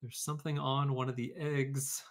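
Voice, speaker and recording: A man's voice: mid-range voice, level tone, normal speed. A man hosting a children's read-aloud lesson, speaking conversationally as an educator to pupils and teachers. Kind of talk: children's story read-aloud and informal classroom instruction.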